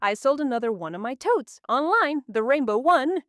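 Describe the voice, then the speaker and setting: A woman acts out an affectionate audiobook mother with a Spanish accent. Her voice sits fairly high and moves up and down with cheer, recorded close and dry. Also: sing-song, moderate pace, fairly bright tone, no echo. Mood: gleeful. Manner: bubbly, affectionate. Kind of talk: audiobook character dialogue.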